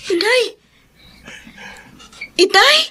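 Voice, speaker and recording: An actress playing an emotional, tearful young daughter in a Filipino radio drama, with a Filipino accent. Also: female voice, extremely high pitch, expressive, bright, forceful tone, slight background noise, full character voice. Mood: tearful joy. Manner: tearful, drawn-out.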